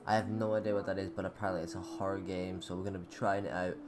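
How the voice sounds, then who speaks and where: A man's voice: low-pitched, racing, noisy background. A teenage boy, a gaming YouTuber, chatting casually through a horror game from his bedroom. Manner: chatty, animated, shrieks when startled.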